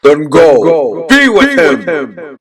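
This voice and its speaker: A man voicing a big, cruel, murderous Santa Claus, threatening and deliberate, in a radio play. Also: on the high side, very animated, crowd noise, exaggerated voice. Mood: menacing.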